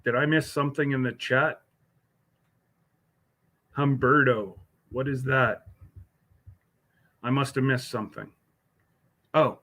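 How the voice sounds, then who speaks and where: Slow, rambling man chatting with viewers. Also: medium pitch, steady tone, pushed and bright. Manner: rambling, halting, many fillers and restarts; addresses viewers by name. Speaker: livestream host. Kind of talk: casual chat answering live viewers.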